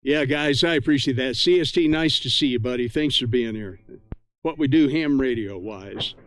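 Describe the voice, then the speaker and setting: Friendly man hosting a radio net, clear voice. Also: medium pitch, normal speed. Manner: friendly, effusive, thankful. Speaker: ham radio net host and livestreamer.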